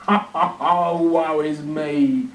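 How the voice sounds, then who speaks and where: A man's voice: on the high side, fairly even intonation, takes it slowly, voice pushed up. A man voicing a self-important, self-pitying zookeeper who mutters and exclaims dramatically to himself in a children's storybook. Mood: gloomy, self-pitying. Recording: roomy, distant TV speaker playback.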